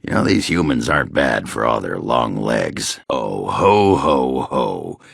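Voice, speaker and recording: Game casting: boozy, blunt old-school dwarf brawler, raspy growl, slow drawl with big swings in pitch. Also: male voice, very animated, fairly bright tone, no echo, studio quality, full character voice. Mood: boisterous, joking. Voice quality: gravelly, rough. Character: gruff, boozy, crude male dwarf warrior. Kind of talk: video game character acting.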